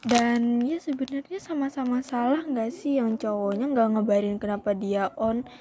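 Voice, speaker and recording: A woman's voice: fairly high pitch, moderate pace, warm tone. A young woman vlogger telling a storytime in a casual, chatty way, often calling her viewers "guys" and slipping into English phrases.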